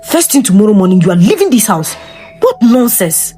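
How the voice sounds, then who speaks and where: A woman's voice: mid-range voice, huge pitch swings, moderate pace, dark tone, breathy edge, soft background music, heavily acted. Angry husband, voiced by a woman; stern, scolding. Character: married man, angry and stern.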